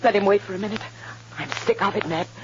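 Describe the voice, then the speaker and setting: An actress playing a brisk woman conspirator in a 1950s radio drama. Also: medium pitch, natural voice. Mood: weary. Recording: dull, noisy old radio recording.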